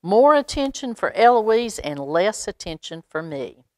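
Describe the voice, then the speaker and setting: A woman voicing a glum, jealous male basset hound in a storybook, muttering with miserable resignation. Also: big pitch swings, unhurried, a little mellow, no echo, studio quality. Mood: sulky.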